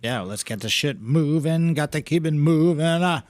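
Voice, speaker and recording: A man co-hosting a casual podcast, rambling and swearing, his pitch jumping up when he gets excited. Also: normal speed, dry recording. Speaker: podcast co-host, gym owner. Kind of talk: casual profane chat.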